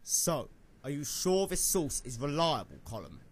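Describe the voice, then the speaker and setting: A man's voice: fairly high pitch, sing-song, measured pace, full character voice. A man playing a skeptical young pegasus news photographer in an amateur audio drama. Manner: skeptical, questioning.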